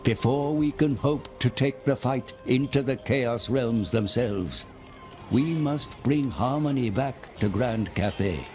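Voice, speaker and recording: Slow, portentous old sage of fantasy. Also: male voice, mid-range voice, animated, darkish tone, soft background music. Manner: grave, portentous. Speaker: narrator and adviser character. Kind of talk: theatrical game cinematic narration.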